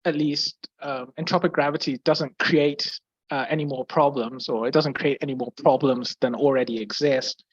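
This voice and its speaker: A young man from Brazil who speaks with an English accent, explaining physics in a question-and-answer session. He hesitates often, restarting phrases, filling gaps and clearing his throat. The call sounds slightly dull and dry, close and without room sound. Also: mid-range voice, steady tone, normal speed, no room sound. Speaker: guest physicist.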